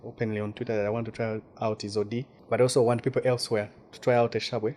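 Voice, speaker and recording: A man's voice: fairly low pitch, flat delivery, rapid-fire, darkish tone. Ugandan man, thoughtful, faint hiss. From Western Uganda. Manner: thoughtful, repeats words while searching for them. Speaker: guest journalist. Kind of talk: casual interview talk.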